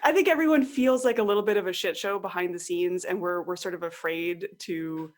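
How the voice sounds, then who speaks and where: A woman's voice: fairly low pitch, a little fast. A woman talking casually as an interview guest, animatedly acting out imagined remarks as quotes. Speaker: podcast guest, online course creator and tutorial maker.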